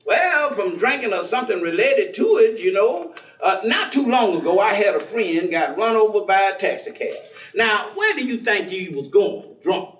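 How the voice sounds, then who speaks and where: A man acting as a devout, playful ex-drinker preacher with a high voice that swings widely in pitch. He spins homespun stories in loose vernacular and keeps pressing his point. It is a stage play, heard from a distance in an echoing theatre. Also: big pitch swings, a bit bright. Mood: storytelling. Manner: folksy vernacular, theatrical, drawn-out exclamations. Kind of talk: staged play reading, colloquial storytelling. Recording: echoey stage, distant microphone.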